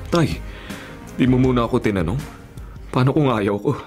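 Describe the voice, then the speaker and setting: Male actor as dutiful young man, reserved and earnest, mid-pitched, radio drama, music underneath. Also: animated, normal speed, darkish tone, natural voice. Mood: reluctant. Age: young adult. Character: serious, dutiful young man, reluctant bodyguard with police dreams. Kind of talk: Filipino radio drama acting. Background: background music.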